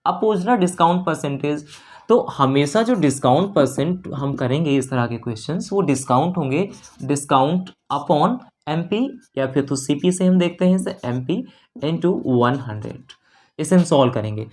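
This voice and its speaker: A man's voice: on the high side, expressive, normal speed, darkish tone. A man teaching an exam-prep lesson step by step, repeating phrases and mixing in English terms. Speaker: maths teacher.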